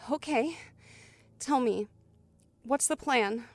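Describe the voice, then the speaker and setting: A woman's voice: high-pitched, takes it slowly, no echo, full character voice. A woman playing an anxious woman trapped in an elevator with a stranger, in an audio thriller. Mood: nervous. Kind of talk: audio thriller acting with first-person narration.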